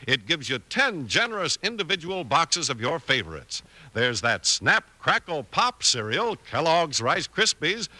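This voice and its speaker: A man's voice: fairly high pitch, sharp tone, dry recording. A man with an American accent announcing in punchy, salesmanlike 1950s radio style.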